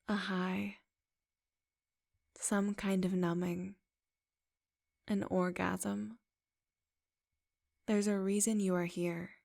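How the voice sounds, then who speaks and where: A woman guides a meditation with a soft, affirming manner. She speaks at a very slow, drawn-out pace. Her voice carries a gravelly fry, while her pitch sits in the middle.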